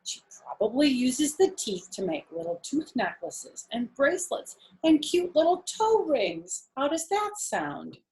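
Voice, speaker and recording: A woman's voice: sits high, big pitch swings, unhurried, few pauses, a little mellow, pitched above the performer's own voice, heavily acted. A woman voicing a well-meaning storybook mother, reassuring and eager as she explains things emphatically. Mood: bright, eager. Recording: roomy, echoey home recording.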